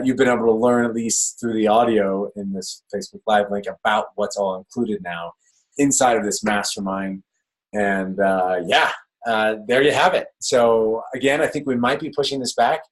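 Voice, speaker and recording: A man with a slightly raspy voice, giving a casual webinar pitch as an online health coach, loose and chatty with fillers and restarts. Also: poor audio. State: slightly raspy from talking a lot.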